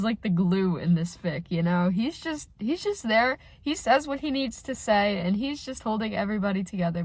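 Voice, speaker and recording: A woman with a stuffy, nasal voice from a cold, hosting a casual reaction vlog from inside her car, excitable and full of filler words. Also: on the high side, no room sound. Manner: gushing and excitable, many filler "like"s. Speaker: channel host reacting to fan fiction.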